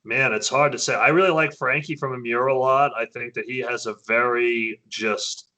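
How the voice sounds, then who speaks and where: A man, a metal band's vocalist, chatting casually as an interview guest, often halting and restarting his sentences. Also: fairly even intonation, sharp tone, low-quality recording. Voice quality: naturally low voice. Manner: hesitant, many restarts and fillers.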